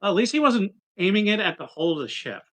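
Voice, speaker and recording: A man's voice: high-pitched, very animated, fast, fairly bright tone. A man giving casual rules advice as a player in a tabletop roleplaying game.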